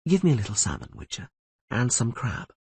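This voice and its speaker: A man voicing a proud, quick-tongued sorceress in an audiobook reading, in a husky voice with a teasing, commanding manner. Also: mid-range voice, huge pitch swings, darkish tone, no echo, full character voice.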